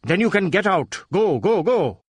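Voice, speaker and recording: Imperious Indian magnate performed by a male narrator: higher voice, Indian accent, impatient commands, crime audiobook character. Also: very animated, a little slow, husky, dry recording, heavily acted. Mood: angry, commanding. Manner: imperious, barking short repeated commands. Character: domineering wealthy Indian businessman, impatient and grudging.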